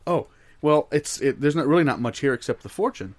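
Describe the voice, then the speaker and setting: A man's voice: on the high side, lively intonation, brisk, darkish tone, no echo. A man playing a folksy know-it-all co-host on an improvised comedy advice show, mock-serious and drawn out.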